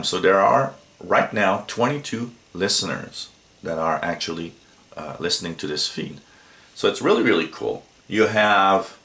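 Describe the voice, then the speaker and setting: Male reviewer, very low bright voice, halting delivery, informal demo style. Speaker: app reviewer. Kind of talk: casual unscripted app walkthrough. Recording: roomy, echoey home recording.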